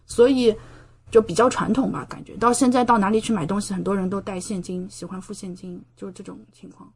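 A woman's voice: medium pitch, fairly even intonation, a little fast, a crowd in the background. A woman from China talking casually as an interview guest, explaining things at length with plenty of examples. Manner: explanatory, talkative, gives examples. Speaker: podcast guest, doctoral student in medicine living in Japan.

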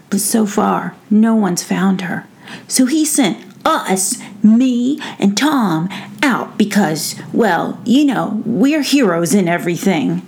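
A woman's voice: medium pitch, darkish tone, pitched above the performer's own voice. A woman voicing a tiny, greedy, boastful boy mouse with eager, self-important chatter in a children's story.

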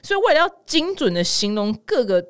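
A woman's voice: a little high, big pitch swings, brisk, smooth. A woman with a Taiwanese Mandarin accent hosting a casual news podcast, exclamatory and dramatic, wandering into personal asides and mixing in English words. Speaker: podcast host.

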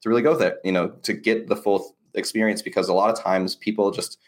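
A man's voice: lowish voice, fairly even intonation, fast talker. A man being interviewed as an agency owner, explaining his work in an earnest, casual, conversational way.

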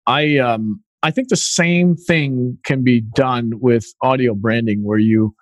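A man with a mid-pitched voice hosts a casual interview for listeners. He stumbles and repeats words as he works into his questions. His voice sounds dry and close to the mic. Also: slow pace, no room sound. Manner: hesitant, repeats words when starting questions. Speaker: podcast host.

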